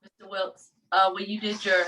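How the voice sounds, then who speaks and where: A woman's voice: mid-range voice, flat delivery, moderate pace, sharp tone. A woman chatting casually and teasingly on a video call, picked up by a distant microphone. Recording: distant microphone, faint background noise.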